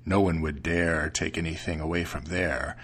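A man voicing a sly, greedy male storybook cat who talks slowly and dryly as he deceives. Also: extremely low pitch, fairly even intonation, fairly bright tone, breathy edge, voice pushed down, heavily acted. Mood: persuasive. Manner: slow, dry, drawn-out delivery. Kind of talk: storybook character voice.

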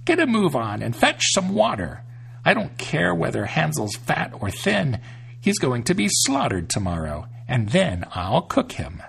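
A wicked old witch with a shrill, scratchy cackle in her voice, stretching her threats out slowly and slyly. A man plays her, pushing his voice up high. Her pitch leaps and dips as she taunts the children. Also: huge pitch swings, gravelly, fairly bright tone, breathy edge, exaggerated voice. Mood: impatient, scornful. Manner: shrill, cackling, slow and sly.

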